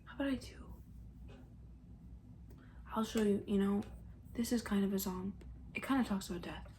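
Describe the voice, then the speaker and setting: A woman speaking in a soft, breathy near-whisper, rambling gently and hesitantly in casual ASMR style as a comforting camp counselor. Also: mid-range voice, moderate pace, slight background noise. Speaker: ASMR roleplay creator.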